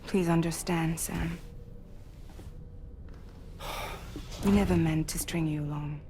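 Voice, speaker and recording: A woman playing a tender, earnest comrade in a dramatic game cutscene, speaking in a soft, breathy, pleading way. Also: deep voice, fairly even intonation, normal speed, slight background noise. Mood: pleading, regretful. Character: tender, earnest female comrade consoling a bereaved friend.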